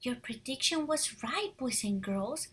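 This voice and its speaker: Husky, animated woman reading to small children. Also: a little high, measured pace. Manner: animated, encouraging, addresses children directly. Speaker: preschool teacher reading a picture book aloud. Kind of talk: read-aloud lesson with questions to young children.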